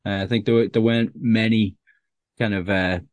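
A low-voiced man in his thirties, speaking with a Scouse accent worn down by years away from Liverpool. He rambles and restarts as he chats, making fun of himself. The recording is close and dry, with no room sound. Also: steady tone, normal speed, no room sound. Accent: Liverpool (Scouse), softened. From Liverpool, England. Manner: self-deprecating, rambling with many restarts. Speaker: stand-up comedian guest. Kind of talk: casual interview chat.

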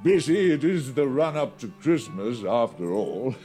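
A man's voice: mid-range voice, big pitch swings, unhurried, dark tone, soft background music, pitched below the performer's own voice. A man voicing a busy, chuckling old Santa in a read-aloud parody story. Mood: jolly. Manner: chuckling, folksy storybook delivery.

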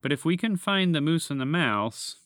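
Man playing a weary magical gentleman, bright-voiced. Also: fairly high pitch, lively intonation, normal speed, no echo, studio quality, voice pushed up. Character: weary bearded gentleman in top hat, magical, weakened.

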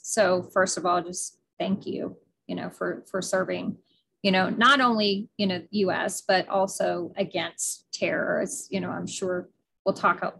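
A woman's voice: on the low side, vocal fry, fairly bright tone, slightly rough. A middle-aged woman hosting a podcast interview, enthusiastic and talkative, with frequent fillers and restarts. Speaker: podcast host. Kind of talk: casual interview.

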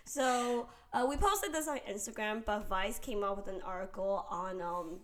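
A woman's voice: medium pitch, normal speed, fairly bright tone. Candid, joking young woman chatting casually. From Taiwan. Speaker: co-host. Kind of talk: casual chat.

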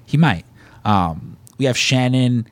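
A man's voice: mid-range voice, lively intonation, slow pace, dry recording. A man from the Chicago area with a Chicago accent, co-hosting a podcast in casual, rambling, joking chat. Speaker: podcast co-host.

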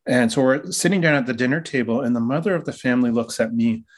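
Relaxed man from the Toronto area. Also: medium pitch, fast. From Toronto area, Canada. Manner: relaxed, many uh fillers. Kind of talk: casual storytelling in an interview.

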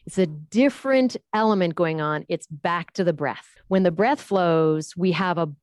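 A woman explaining how breath shapes the voice in an interview, demonstrating with sudden high and low pitches. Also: moderate pace, darkish tone, no echo, clean studio sound. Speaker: voice coach.

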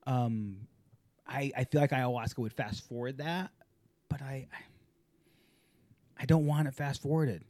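A man's voice: mid-range voice, fairly even intonation, unhurried, pauses often, a little mellow, dry recording. A man in his forties hosting a podcast, talking frankly and reflectively with a lot of swearing. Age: 40s. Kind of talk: candid podcast conversation.

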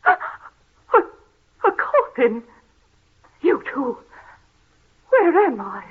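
High, bewildered lady in radio drama. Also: wildly swooping pitch, very slow, exaggerated voice. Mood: bewildered, frightened. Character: bewildered, frightened lady waking from a trance. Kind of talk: 1930s radio mystery acting. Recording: thin, phone-like old radio recording.

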